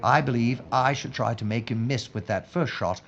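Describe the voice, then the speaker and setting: A man playing a courteous, unflappable police inspector in wartime London, dryly understated and deliberate, in an audio drama. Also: mid-range voice, gravelly, highs cut off. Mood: grave, deducing.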